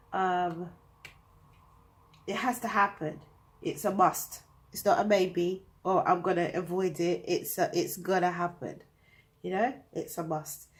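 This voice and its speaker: A woman with a British accent, reading tarot cards to camera in a chatty, thinking-aloud way, her nose blocked up from a cold. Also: on the low side. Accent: British English. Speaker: tarot reader.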